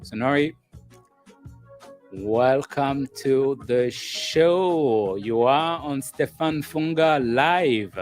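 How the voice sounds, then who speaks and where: A man of middle pitch hosts a live call-in show, greeting viewers and his guest. His delivery is slow and deliberate, with phrases repeated to land them. Faint music sits under his voice. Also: lively intonation. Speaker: host of a call-in relationship show.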